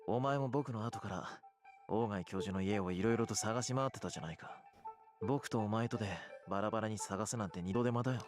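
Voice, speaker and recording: An actor playing a relaxed, faintly sinister young man in a Japanese visual novel, speaking in a matter-of-fact way. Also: on the low side, rapid-fire, over music, lightly acted. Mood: persuasive.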